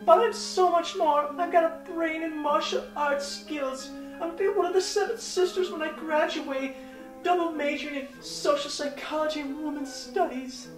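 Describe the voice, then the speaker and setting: Woman playing sassy young princess, really high voice, comedy fairy tale play, echoey stage. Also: fairly even intonation, normal speed, natural voice. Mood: earnest. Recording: echoey stage, distant mic, music behind.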